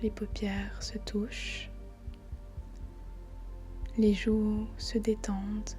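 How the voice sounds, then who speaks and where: A woman guiding a body scan meditation in a soft, breathy, near-whispering voice, calm and soothing, in short phrases with long pauses. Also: medium pitch. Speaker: meditation guide. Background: faint background music.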